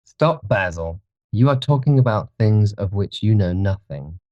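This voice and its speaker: Audiobook part: haughty, petulant young gentleman, played by a man, low voice, languid and contemptuous, dull dry recording. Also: normal speed, no echo, natural voice. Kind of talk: audiobook character reading.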